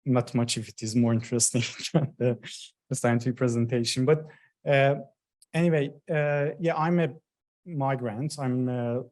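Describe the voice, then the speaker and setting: Iranian-born man, forties; modest, hesitant lecturer, mid-pitched. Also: steady tone, moderate pace, a little mellow. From Iran. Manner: hesitant, modest, with frequent fillers. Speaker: guest lecturer. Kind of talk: formal lecture opening.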